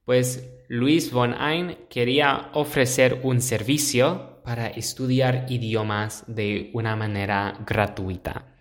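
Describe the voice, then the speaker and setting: Slow, hesitant young man teaching. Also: medium pitch, fairly even intonation. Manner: slow, hesitant, pauses to search for words. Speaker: podcast host. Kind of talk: solo podcast explaining to learners.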